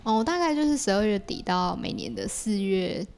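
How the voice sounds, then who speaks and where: A woman with a Taiwanese Mandarin accent explaining things casually as a podcast guest, with quick repeated words of agreement. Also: mid-range voice, animated, a little mellow, clear, resonant tone. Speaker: podcast guest, creator of audio works.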